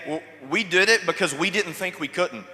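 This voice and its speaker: A man's voice: high voice, moderate pace, bright, forceful tone. A man in his twenties giving a direct, coaching motivational talk on stage. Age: 20s. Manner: coaching, direct, rising to emphatic. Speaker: motivational speaker. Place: large event hall. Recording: hall reverb.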